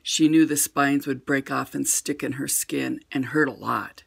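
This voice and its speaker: An older woman reading a children's picture book aloud at home with gentle, expressive storytelling. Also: extremely low pitch, a little slow, vocal fry, husky.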